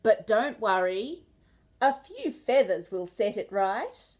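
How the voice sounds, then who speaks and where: A woman voicing a graceful, gently fussy female emu in a children's picture book, fluttering and sighing. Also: medium pitch, big pitch swings, takes it slowly. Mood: fluttery concern.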